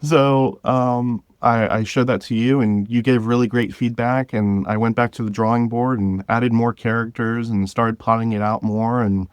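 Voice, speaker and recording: A man speaking as a podcast guest, explaining things informally and pausing to gather his thoughts. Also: medium pitch, fairly even intonation, smooth, dry recording. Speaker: podcast guest, drama creator. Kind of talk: conversational interview.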